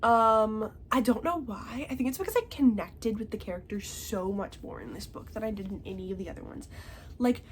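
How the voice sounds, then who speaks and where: A woman's voice: mid-range voice, a little fast, slight background noise. A young woman with a congested voice chatting casually about the books she read, often checking in with "okay?". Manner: chatty, tags remarks with "okay?". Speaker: book reviewer. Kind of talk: casual chat.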